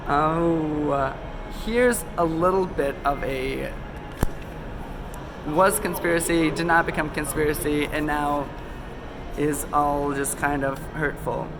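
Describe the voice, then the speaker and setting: A man's voice: a little high, steady tone, slow pace, noisy background. Sick-sounding man rambling casually. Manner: loose, chatty, many ums and self-corrections. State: a little sick, losing his voice. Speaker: conspiracy video host. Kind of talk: casual rambling talk to camera. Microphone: phone camera.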